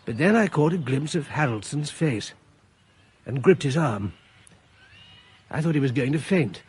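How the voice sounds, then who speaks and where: A man narrating in the first person and playing the lead in a radio drama, with a measured, reflective delivery. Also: mid-range voice, expressive, a little mellow, husky.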